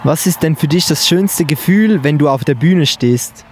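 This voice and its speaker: A man's voice: a little high, lively intonation, normal speed, darkish tone, slight background noise. A man from Switzerland with a Swiss German accent, asking brief, friendly interview questions for radio at an outdoor festival. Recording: live music playing behind.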